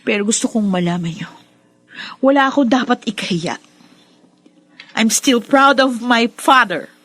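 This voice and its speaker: An actress playing an earnest, tender young daughter with wounded pride in a radio drama. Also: female voice, fairly high pitch, expressive, unhurried, fairly bright tone, no room sound. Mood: hurt, proud. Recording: music behind the voice.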